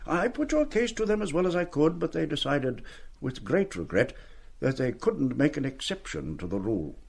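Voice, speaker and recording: Suave, lilting headmaster. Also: male voice, a little high, sing-song, normal speed, gravelly, a little mellow, slightly rough, heavily acted. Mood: apologetic, evasive. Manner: urbane, wordy, patronising. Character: suave, condescending male public school headmaster, falsely genial. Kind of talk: radio play acting.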